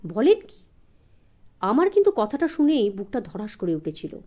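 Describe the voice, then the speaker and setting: A woman narrating a suspense story from a script in an expressive way, as an audiobook reader. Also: medium pitch, brisk.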